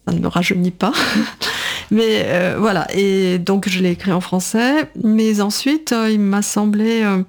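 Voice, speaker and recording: An older woman, a writer and translator whose first language is not French, answering interview questions thoughtfully with many pauses and fillers. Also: mid-range voice.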